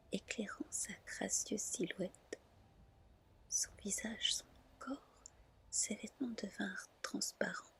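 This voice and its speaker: A woman softly and gently reading a fairy tale as an ASMR storyteller. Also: medium pitch, vocal fry. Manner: soft, gentle, unhurried narration.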